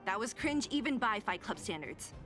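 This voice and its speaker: A woman's voice: medium pitch, very animated, moderate pace, over music, close to an everyday voice. An actress voicing a blunt, sarcastic young female fighter in video game dialogue. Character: tough, exasperated young female underworld fighter.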